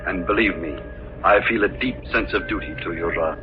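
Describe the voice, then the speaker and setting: A man's voice: deep voice, brisk, over music, natural voice. Fussy, formal estate lawyer played by a man, muffled. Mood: formal, hesitant. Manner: hesitant, formal, throat-clearing, precise. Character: fussy, formal, dutiful male estate executor. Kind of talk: 1940s radio drama acting. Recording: muffled, noisy old radio recording.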